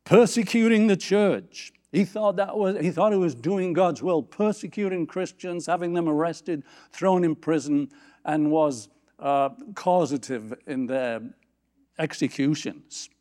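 A man preaching a sermon from a church platform, lifting his voice for scripture quotes and dropping low for quiet asides. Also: unhurried. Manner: animated, rising pitch on scripture quotes. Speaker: pastor.

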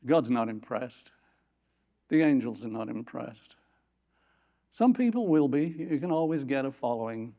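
A man preaching a sermon from a church platform, lifting his voice for scripture quotes and dropping low for quiet asides. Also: measured pace, pauses often. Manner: animated, rising pitch on scripture quotes. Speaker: pastor.

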